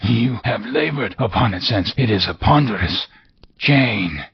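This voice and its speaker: A man voicing the wailing, chained ghost of an old miser, with a high quavering voice that rises to cries. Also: expressive, a little slow, creaky. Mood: mournful wailing. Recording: dull lo-fi recording with faint hiss.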